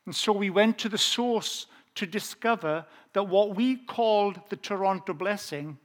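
A Welsh man preaching a personal testimony, with a voice pitched noticeably high for a man. He tells his story earnestly and slows down on the phrases that matter most. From South Wales. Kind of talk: testimony sermon.

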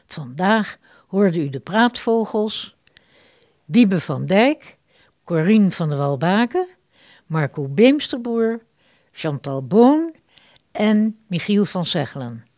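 A woman's voice: medium pitch, big pitch swings, slow pace, pauses often, a little mellow, no echo, clean studio sound. A woman announcer reading a scripted announcement for a radio drama.

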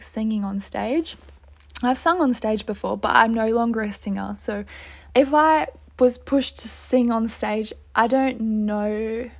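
A young woman hosting a solo podcast, earnest and upbeat, her throat a little dry. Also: a little high. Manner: earnest, upbeat, with light laughs. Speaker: podcast host.

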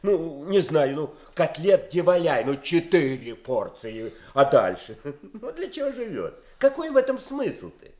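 Folksy simple good-natured man in a radio drama, highish voice, musing away. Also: very animated, measured pace, a little mellow. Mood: amused, puzzled. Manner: folksy, musing, chuckling, colloquial. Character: plain-spoken, good-natured man puzzled by thieves' greed.

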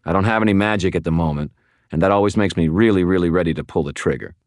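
A man voicing a hot-tempered, sarcastic wizard with a forceful, exasperated manner in an audiobook. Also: low voice, animated, normal speed, no echo, studio quality, voice pushed up. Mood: menacing.

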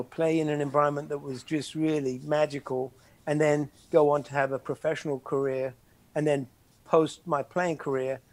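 Older man, higher voice, reflective. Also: darkish tone, no echo. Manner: halting, many restarts and "you know". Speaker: interview guest, former soccer player. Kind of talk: reflective conversational interview.

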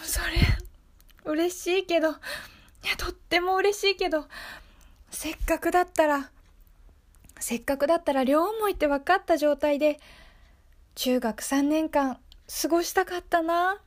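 Woman acting a high-pitched, wistful teenage girl. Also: measured pace, long pauses. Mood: tender, shy regret. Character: wistful teenage girl confessing long-hidden first love. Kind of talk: improvised radio drama monologue.

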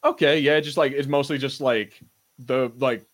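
Man rambling casually, mid-pitched voice. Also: steady tone. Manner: halting, rambling delivery with repeated false starts. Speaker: podcast host. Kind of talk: casual pop-culture banter.

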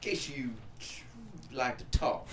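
A man's voice: mid-range voice, fairly bright tone, slight background noise. A man acting a sly, needling small-town sheriff in a screenplay read, folksy and drawn out. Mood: insinuating. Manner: folksy, insinuating, drawn out with pauses. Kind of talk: table read of a screenplay drama.

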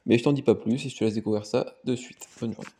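A man reading a podcast introduction in a steady, scripted way. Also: medium pitch, quick pace, husky. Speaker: podcast host. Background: background music.

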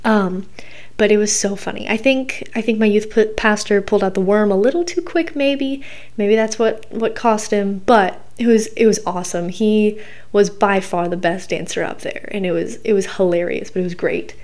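A young woman hosts her own podcast in a voice gone hoarse and scratchy. She rambles in a loose, chatty way, filling every pause with ums and uhs. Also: mid-range voice, a little mellow. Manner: rambling, chatty, many fillers. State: hoarse, recovering from losing her voice. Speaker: podcast host. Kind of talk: casual solo podcast chat.

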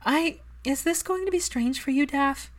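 A woman's voice: high-pitched, moderate pace, pitched above the performer's own voice, lightly acted. A woman voicing a guarded, easily flustered young woman in an audiobook, speaking in an earnest and hesitant manner. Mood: awkward.

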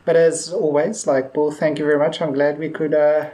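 A man's voice: fairly high pitch, level tone, moderate pace, mellow. Hesitant, rambling male podcast host. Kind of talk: casual chat.

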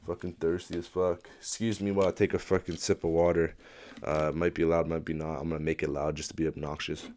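A very deep-voiced man with a blocked, stuffy nose rants alone into a desk mic. He swears nearly every sentence and rambles from thought to thought in an excitable, casual way. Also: quick pace, background noise. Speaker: podcast host. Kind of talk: casual solo rant. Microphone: desk microphone at a laptop.

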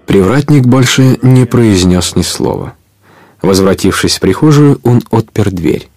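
A man's voice: fairly low pitch, expressive, measured pace, a little mellow. A man narrating an adventure audio play as a storyteller, scripted and dramatic.

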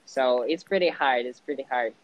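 A teenage man with a Russian accent, a student living abroad, speaking English hesitantly with many pauses and fillers. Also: mid-range voice, dry recording, rough recording. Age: teens. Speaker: interviewee, exchange student. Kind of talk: casual conversation.

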